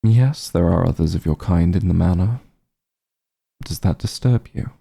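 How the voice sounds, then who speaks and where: A man's voice: sits low, moderate pace, creaky, dark tone, breathy edge, no room sound, studio quality, full character voice. A man playing an ancient, aloof vampire patriarch in an ASMR fantasy roleplay, commanding yet honorable, speaking in formal, haughty, archaic phrasing. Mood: cold, weary.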